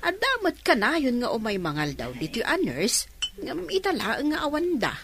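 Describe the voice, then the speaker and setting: A woman's voice: high-pitched, wildly swooping pitch, moderate pace, fairly bright tone, full character voice. A woman acting a talkative older canteen keeper in an Ilocano radio drama. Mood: chatty, teasing.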